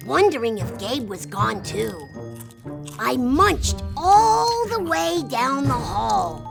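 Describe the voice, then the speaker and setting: Young boy narrator for a picture book, played by a woman: high, creaky, dramatic, very slow storytelling. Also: heavily acted. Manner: expressive, dramatic. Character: excitable young boy telling his bedtime monster story.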